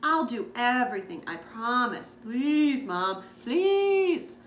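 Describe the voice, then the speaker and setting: A woman's voice: on the high side, slow pace. A woman voicing an eager, pleading young boy in a storybook. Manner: excitable, pleading. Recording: echoey room with background noise.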